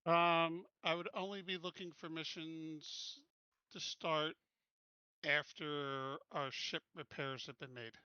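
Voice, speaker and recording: A man's voice: fairly high pitch, fairly even intonation, slow, bright, forceful tone, smooth, no room sound, highs cut off. A man playing a tabletop game, chatting casually and narrating what his character does.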